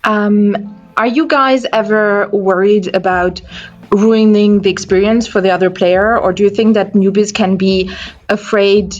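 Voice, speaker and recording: A woman's voice: medium pitch, steady tone, unhurried, dull audio, soft background music. A woman from Austria, speaking on a discussion panel about escape rooms and thinking aloud with restarts. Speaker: escape room enthusiast panelist.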